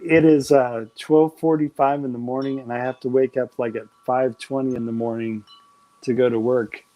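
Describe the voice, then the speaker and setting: A man's voice: medium pitch, flat delivery, normal speed, warm tone, poor audio. A man hosting a late-night live stream, chatting casually and rambling enthusiastically with many restarts. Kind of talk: casual chat.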